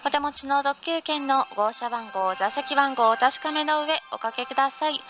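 A woman's voice: sits high, moderate pace, lo-fi sound, music in the background. A woman reading a scripted in-car train announcement in a formal, polite manner. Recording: noisy train ambience recording.

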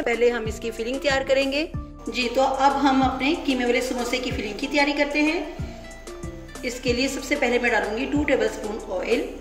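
A woman hosting her home cooking channel, explaining each step of a recipe in an instructive way and often checking in with the viewer. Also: fairly high pitch. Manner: instructive, often ends with "theek hai". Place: home kitchen. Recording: echoey room with background music.

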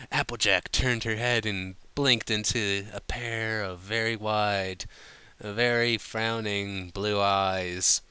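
A man reading a story aloud as an amateur narrator, in an animated, informal manner. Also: on the low side, takes it slowly, clean tone, no echo. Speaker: narrator, fan fiction reader. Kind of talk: amateur audiobook reading with casual asides.

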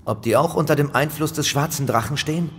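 Ein Schauspieler spielt in einem Hörspiel einen ernsten, loyalen Verbündeten eines Inspektors, natürlich und eindringlich gesprochen. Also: male voice, a little high, fast talker, fairly bright tone, breathy edge. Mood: curious, tense. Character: earnest, loyal male ally of the inspector. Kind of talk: naturalistic audio drama acting. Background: music.

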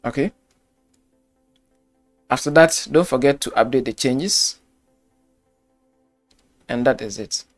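Man, tutorial narrator, unhurried delivery, faint music underneath, methodical and repetitive. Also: mid-range voice. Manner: slow step-by-step instruction, repeats phrases. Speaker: web design tutor. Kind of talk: screen-recorded software tutorial.

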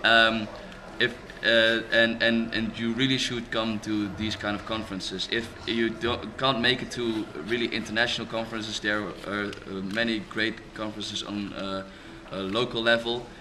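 A young man who hosts a skeptic podcast, talking casually at a conference in a large hall, halting with many fillers and restarts. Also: lowish voice, little pitch movement. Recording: noisy hall background.

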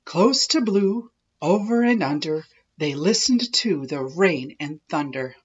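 A woman narrating a children's picture book in an expressive, measured storytelling way. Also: deep voice, husky, lo-fi sound. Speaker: children's read-aloud host.

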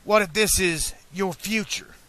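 A man voicing an earnest, excitable teenage boy in an audiobook, talking in an emphatic, colloquial, pleading way. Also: high-pitched, unhurried, pitched above the performer's own voice. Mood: alarmed, pleading. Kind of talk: audiobook character dialogue.

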